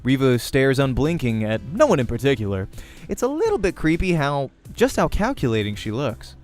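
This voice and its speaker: Male let's play reader, mid-pitched, narrating visual novel prose with music underneath. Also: very animated, normal speed, darkish tone.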